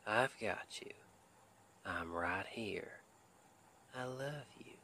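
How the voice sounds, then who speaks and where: A man playing a tender, reassuring Southern husband in an intimate ASMR roleplay, with a Southern US accent and a soothing, gentle, often half-whispered delivery. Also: lowish voice, expressive, extremely slow, slight background noise, heavily acted. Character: tender, reassuring Southern husband comforting his stressed wife.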